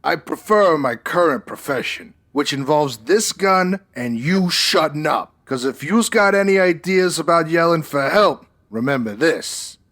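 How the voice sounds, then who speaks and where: A man's voice: a little high, animated, a little slow, few pauses, fairly bright tone. A man playing a menacing but comical gangster henchman in an audio drama, making mock-polite threats in streetwise, ungrammatical slang. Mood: threatening. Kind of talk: old-time radio gangster acting.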